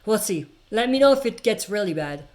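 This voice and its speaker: A woman hosting a live chat stream, talking loosely and with conviction. She reads out viewer comments, then answers them at length, with frequent fillers and restarts. Her voice sits at an ordinary middle pitch. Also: lively intonation, a little fast, a little mellow. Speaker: livestream host answering chat questions.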